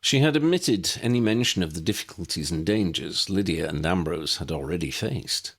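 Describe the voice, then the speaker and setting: Guy reading an audiobook, low voice, really lively intonation. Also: normal speed, vocal fry, breathy edge. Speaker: audiobook narrator. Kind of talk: scripted fiction narration.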